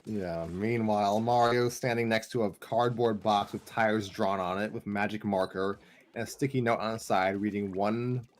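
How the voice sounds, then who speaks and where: A man's voice: on the low side, steady tone, normal speed. A man serving as narrator of an amateur comic story, reading in a casual style.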